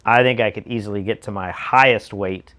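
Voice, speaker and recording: An American man co-hosting a casual discussion show, speaking emphatically with a slightly raspy voice and throwing out rhetorical questions. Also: fairly low pitch, fairly even intonation, fairly quick, a bit bright. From United States. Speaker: show co-host.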